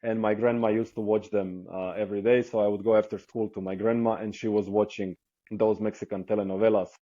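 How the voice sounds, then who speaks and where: A man's voice: lowish voice, brisk, dry recording, dull audio. A man in his thirties with a Croatian accent, chatting relaxedly as a guest on a video call interview through an earphone mic. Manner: relaxed, chatty, laughs easily. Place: indoor room, plain wall.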